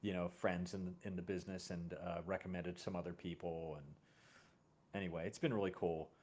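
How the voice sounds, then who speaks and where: Low-voiced American man chatting casually. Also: level tone, brisk, a little mellow, a little hiss or hum. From Brooklyn, New York. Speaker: series creator and host. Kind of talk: casual conversational storytelling.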